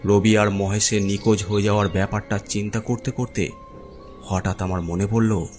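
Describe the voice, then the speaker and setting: A man's voice: on the low side, little pitch movement, moderate pace, a little mellow, soft background music. A man reading a scripted horror story as its narrator.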